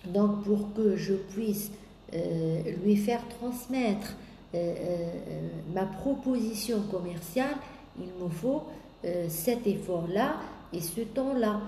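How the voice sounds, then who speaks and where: A woman business coach giving a sales coaching talk, pausing often on drawn-out fillers and listing points in repetition. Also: mid-range voice, dark tone. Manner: hesitant, many drawn-out "euh" fillers, repetitive lists. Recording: echoey room with faint background noise.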